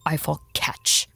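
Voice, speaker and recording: A defiant, exasperated lieutenant in an audio drama. She is terse and gravelly, with an unhurried pace and pitch that jumps around. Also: fairly bright tone, dry recording, heavily acted.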